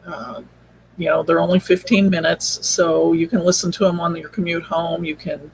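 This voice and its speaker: Guy guest on a podcast, kinda high voice, halting and thinking out loud, bit of background noise. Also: level tone, creaky, rough recording. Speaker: podcast drama producer, interview guest. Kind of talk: casual chat.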